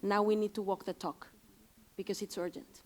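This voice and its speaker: A woman panelist speaks in earnest, fluent sentences with an urgent push behind them. Her pitch is middling and steady. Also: normal speed. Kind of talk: closing remarks at a panel.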